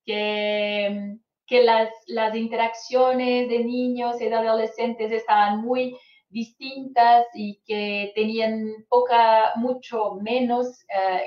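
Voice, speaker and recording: A Brazilian woman whose first language is Portuguese, giving a live online lecture as a psychologist, hesitating often with "eh". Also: fairly high pitch. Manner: hesitant, frequent "eh" fillers. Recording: roomy, dull lo-fi stream audio.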